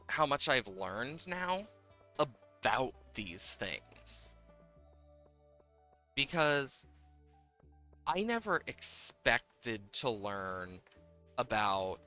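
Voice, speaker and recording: A man's voice: medium pitch, sharp tone. A man chatting casually and enthusiastically as he streams a game, rambling from thought to thought. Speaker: game livestream host. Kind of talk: casual chat over gameplay, reading game dialogue aloud. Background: video game music.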